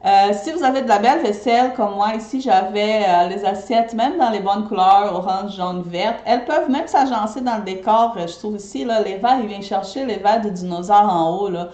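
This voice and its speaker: Woman, Brazilian accent blended with Québécois, Portuguese native speaker, chatty live video, mid pitch, roomy echo. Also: normal speed, few pauses, darkish tone. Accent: Brazilian Portuguese mixed with Québécois French. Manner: chatty, often checks in with viewers by asking "ok?". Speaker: eco-friendly party decorator. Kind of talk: casual live video chat to viewers.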